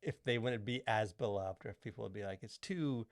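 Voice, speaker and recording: A man hosting a casual podcast discussion, talking in a halting, rambling way full of filler words. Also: lowish voice, no room sound, studio quality. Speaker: podcast host.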